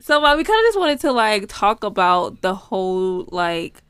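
A woman's voice: medium pitch, big pitch swings, moderate pace, a bit bright, clean tone, no room sound, studio quality. A woman from New Orleans, raised in Houston, co-hosting a podcast in playful, filler-heavy casual chat. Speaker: podcast co-host.

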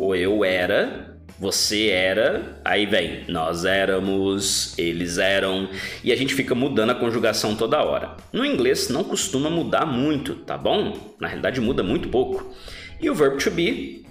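A man's voice: on the low side, reverberant. A man hosting a casual podcast lesson as an English teacher, chatty and keeps checking in with his listeners.

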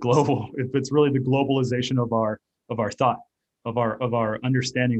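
A man's voice: mid-range voice, dull audio. A man who grew up in Germany, with German as his first language, answering interview questions as an author and artist in a rambling, reflective way full of fillers and restarts. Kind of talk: casual interview talk.

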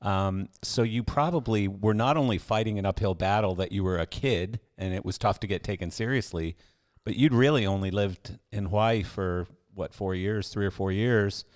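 A man's voice: deep voice, a little mellow. A man hosting a podcast, chatting casually and reacting to stories with laughter and enthusiasm. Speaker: podcast host. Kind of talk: casual chat.

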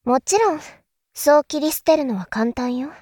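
A woman playing a calm, enigmatic elder sister in an audio drama, speaking in a deliberate, philosophical, teacherly way. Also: high voice, no echo, studio quality. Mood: gentle, knowing. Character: calm, enigmatic elder sister musing darkly about world affairs. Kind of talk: Japanese audio drama acting.

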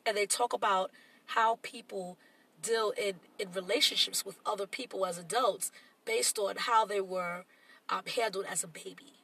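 A woman's voice: mid-range voice, fairly even intonation, moderate pace, creaky, sharp tone, husky, distant mic, low-quality recording. A woman co-hosting a casual talk show, sounding congested and nasal, who explains her points at length and reflects on her own experience. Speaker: podcast co-host. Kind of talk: casual chat.

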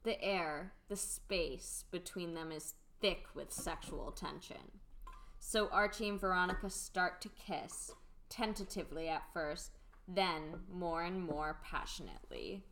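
A woman's voice: on the low side, lively intonation, unhurried, a bit bright, slight background noise. A woman reading scripted stage directions as the narrator of a radio play.

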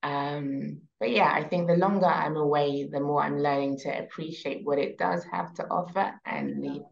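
Woman, London accent, thirties, very low voice, easygoing podcast guest, lo-fi sound. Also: normal speed, vocal fry. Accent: British, London. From England. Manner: relaxed and conversational, frequent ums and trailing soft endings. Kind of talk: casual podcast interview.

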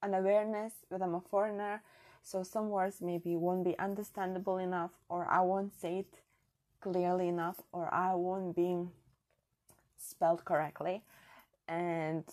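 A woman's voice: on the low side, little pitch movement, measured pace, darkish tone. A woman from Europe speaking English as a second language with a non-native accent, hosting her own podcast in an earnest, chatty, reflective solo talk on a built-in device mic. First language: not English. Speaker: podcast host. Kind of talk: casual solo podcast monologue. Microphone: built-in device mic, no proper microphone.

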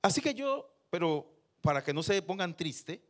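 A man, a pastor preaching and telling personal anecdotes in a lively way. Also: high voice, normal speed. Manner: lively storyteller who acts out quotes.

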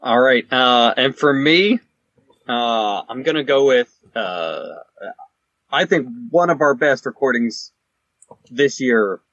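Medium-pitched man, dry-sounding podcast co-host. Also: lively intonation, takes it slowly, a bit bright, no room sound, highs cut off. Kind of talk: casual podcast talk.

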